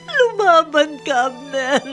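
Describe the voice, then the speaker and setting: A woman's voice: high voice, sing-song, takes it slowly, fairly bright tone, heavily acted. An actress playing a devoted woman, emotional and imploring, in a radio drama. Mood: pleading. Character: pleading, devoted woman comforting an injured man. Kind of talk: Tagalog radio drama acting. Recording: radio drama with prominent music.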